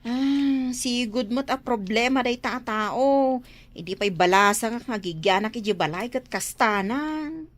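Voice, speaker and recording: A woman's voice: on the high side, measured pace, close to an everyday voice. A woman with an Ilocano accent playing an outspoken, nagging grown daughter in a comedy drama. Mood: disapproving.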